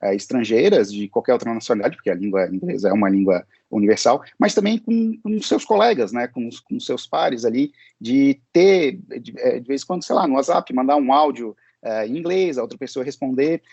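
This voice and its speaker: A man's voice: mid-range voice, expressive, fairly quick, gravelly, hardly any pauses, darkish tone, breathy edge, no room sound, muffled recording. A man teaching English, answering interview questions in a hesitant way, with many fillers and restarts.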